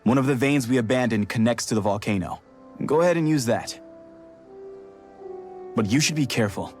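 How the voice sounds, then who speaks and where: A man's voice: medium pitch, fairly quick, darkish tone, faint music behind, close to an everyday voice. A man voicing a down-to-earth, helpful and cautious local miner in a video game, talking plainly and colloquially. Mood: helpful warning. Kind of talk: video game cutscene acting.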